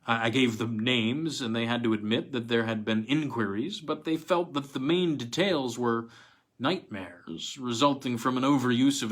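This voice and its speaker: Male narrator for old weird fiction: medium-pitched, scripted, dramatic storytelling delivery. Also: fairly bright tone. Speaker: story reader.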